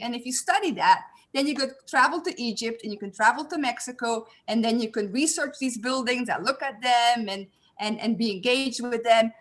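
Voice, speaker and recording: A young woman with a Belgian accent talks in a friendly, eager way, dotting her speech with "um" as she introduces herself to a panel audience. Her voice sits a little high and sounds bright and clear. It comes through a video call. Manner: friendly, eager, with frequent "um" fillers. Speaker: panel host. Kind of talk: online panel self-introduction.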